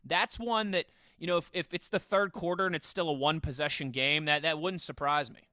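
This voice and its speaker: Bright, clear-voiced man hosting sports talk. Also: a little high, steady tone, fairly quick, no echo, clean studio sound. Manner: conversational, steers questions to co-hosts. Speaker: podcast host. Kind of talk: casual football podcast chat.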